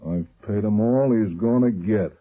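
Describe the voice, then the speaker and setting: An actor playing a domineering, worried racketeer boss, curt and blustering, in an old 1930s radio drama recording. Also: male voice, lowish voice, moderate pace, close to an everyday voice. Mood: scheming, uneasy. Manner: curt, blustering, hard-boiled. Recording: muffled, band-limited old radio recording.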